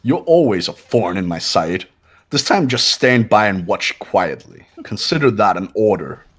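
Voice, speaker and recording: A man's voice: fairly low pitch, lively intonation, a little slow, fairly bright tone, husky, heavily acted. A man voicing a cold, haughty, condescending young man who gives orders in a fan-voiced visual novel game. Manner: condescending, clipped.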